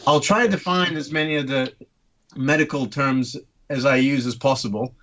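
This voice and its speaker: A man's voice: mid-range voice, normal speed, a bit bright, muffled recording. A man in his thirties from Turkey, guest on a casual podcast, explaining things with many ums and uhs. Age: 30s. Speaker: guest orthopaedic surgeon.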